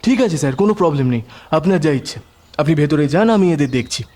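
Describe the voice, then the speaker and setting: A man's voice: a little high, lively intonation, fast, mellow. A man playing a deferential, obliging police constable on guard in an audio story. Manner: respectful, eager to oblige. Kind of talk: Bengali audio story acting.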